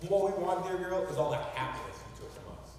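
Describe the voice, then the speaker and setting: A man's voice: high voice, brisk, darkish tone, slight background noise, close to an everyday voice. An actor playing the vengeful ghost of a murdered man, taunting the living. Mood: menacing. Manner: menacing, taunting. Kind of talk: stage play acting. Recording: echoey hall from far off.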